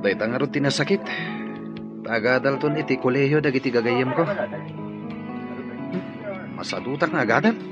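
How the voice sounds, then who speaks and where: A man's voice: medium pitch, normal speed, long pauses, natural voice. A young man acting as a restless student giving up his studies, defiant and sulky, in a radio drama. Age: young adult. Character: restless young man quitting college for friends. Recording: dull audio with background music.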